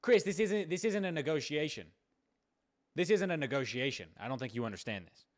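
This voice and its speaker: A man's voice: on the high side, lively intonation, brisk, voice pushed up, lightly acted. An American man playing a stern, bullying adult who officiously threatens a kid with a lawsuit in a prank call. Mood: indignant, threatening. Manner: officious, pressing, repetitive.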